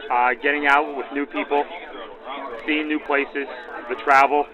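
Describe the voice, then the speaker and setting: A man's voice: a little high, little pitch movement, measured pace. A man answering interview questions unscripted, in plainspoken short phrases, through an old radio filter. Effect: backdated 1940s radio filter. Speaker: railroad photographer, interviewee. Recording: dull lo-fi radio sound, crowd behind.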